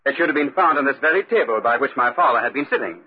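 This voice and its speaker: Haughty, husky lord, swooping pitch. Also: male voice, animated, fast talker. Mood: commanding. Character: haughty, suspicious young lord of the castle. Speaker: actor in radio drama. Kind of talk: 1940s radio acting. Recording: muffled 1940s radio recording.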